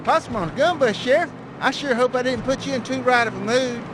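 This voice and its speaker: A man's voice: extremely high pitch, brisk. A man playing a bumbling, eager-to-please deputy with a Southern US accent in a video game. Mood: sheepish. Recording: vehicle noise behind.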